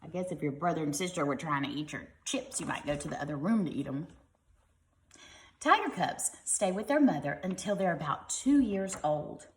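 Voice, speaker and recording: Lady doing storytime for little kids, very lively pitch, chatty little explanations, bit of room echo. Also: moderate pace. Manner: expressive, with simple explanatory asides to children. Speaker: teacher reading a children's book aloud. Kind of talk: read-aloud storytelling for young children.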